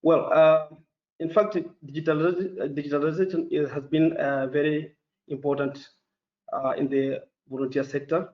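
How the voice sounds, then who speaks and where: A man's voice: a little high, steady tone. Man. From Mozambique. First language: Portuguese. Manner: careful, halting, with many fillers. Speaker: panelist. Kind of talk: formal webinar presentation. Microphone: video call. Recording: dull echoey video call audio.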